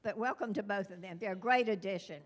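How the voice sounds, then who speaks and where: A woman's voice: mid-range voice, fast, heavy background noise. An older woman introducing new residents at a meeting, laughing often and losing her place. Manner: warm, giggly, scattered, self-deprecating. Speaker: retiring neighborhood representative. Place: chapel hall.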